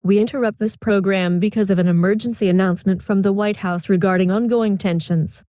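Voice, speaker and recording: A serious TV news announcer, played by a woman, reads a scripted bulletin. Her voice is mellow and mid-pitched, formal and composed, with a very dry studio-like sound. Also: fairly even intonation, fairly quick, no room sound, close to an everyday voice.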